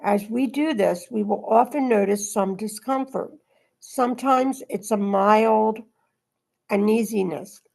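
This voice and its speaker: Woman, medium pitch, creaky voice, spiritual group host, reading and informal discussion. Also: measured pace, a little mellow, low-quality recording.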